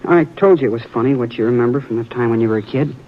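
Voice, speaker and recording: A man's voice: medium pitch, very fast, a little hiss or hum, close to an everyday voice. An actor playing a troubled, frightened boy on a ranch, pleading and defensive, in a 1950s radio drama. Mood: confessing. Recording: dull old radio broadcast.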